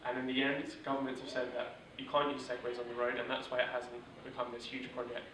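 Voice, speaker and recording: Guy giving a startup workshop talk, pretty flat delivery, recorded from across a big echoey room. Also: medium pitch, quick pace, far from the mic, background noise. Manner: steady, monotone delivery with filler words. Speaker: workshop instructor. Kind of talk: informal teaching talk.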